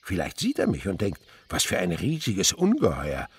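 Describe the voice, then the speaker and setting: An actor playing a kindly old insect researcher in a radio play, philosophical and rapturous, moving between murmurs and exclamations. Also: male voice, low voice, huge pitch swings, normal speed, slightly rough, heavily acted. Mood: wry, animated. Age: older. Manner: theatrical swings from murmur to exclamation. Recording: radio drama with insect noise behind.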